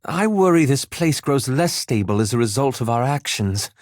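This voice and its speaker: A prim young elf wizard played by a man, mid-pitched and very expressive, recorded close and dry. He is a cautious scholar who speaks formally and precisely, with fastidious, sardonic wit, as in a fantasy video game. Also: no echo, lightly acted. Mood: pensive, formal. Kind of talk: scripted video game voice lines.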